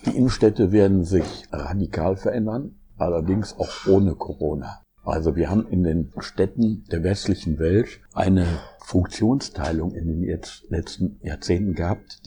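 A middle-aged man from Essen, a professor of economic geography, answering interview questions in a measured, explanatory way. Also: low-pitched, dark tone, slight background noise. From Essen, Germany.

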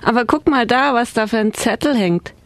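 A woman's voice: a little high, moderate pace, dry recording, lightly acted. A woman playing a baffled, practical tourist in a live radio play. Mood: baffled, suggesting.

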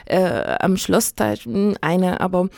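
A middle-aged woman from Chile with a Chilean Spanish accent, Spanish her native language, speaking German thoughtfully with many pauses and fillers in a casual radio interview. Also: on the low side, lively intonation, gravelly, warm tone, no echo, studio quality.

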